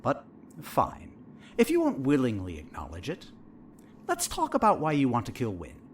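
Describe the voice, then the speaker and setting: Man playing a theatrical, needling therapist, swooping pitch. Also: huge pitch swings, moderate pace, slight background noise. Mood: probing. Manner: leading questions, theatrical, insinuating. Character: probing, needling male therapist, smug and eerily all-knowing. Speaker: actor in audio drama. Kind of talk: audio fiction dialogue.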